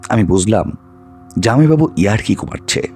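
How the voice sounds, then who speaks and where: A man narrating a scripted ghost story in an expressive, dramatized way. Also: fairly low pitch, normal speed, faint music behind. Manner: expressive, acts out the dialogue of characters.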